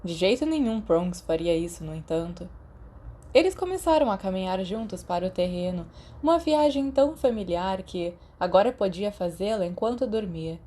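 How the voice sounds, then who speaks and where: A Brazilian-accented woman narrates fiction in audiobook style. Her voice is mellow and medium in pitch, following a written script. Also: animated, normal speed. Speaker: podfic narrator.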